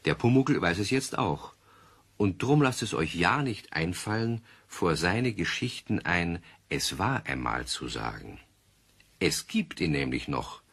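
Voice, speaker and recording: A man narrating a German children's radio play as a kindly storyteller, speaking to young listeners with gentle humour. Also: low-pitched, big pitch swings, unhurried, vocal fry, breathy edge, heavily acted. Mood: playful, confiding.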